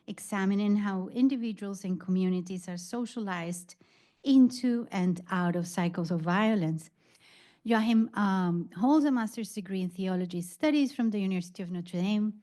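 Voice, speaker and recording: A woman moderates an academic event and reads out introductions of the speakers. She is formal and pauses often on fillers. Her pitch sits in the middle for a woman. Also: mellow.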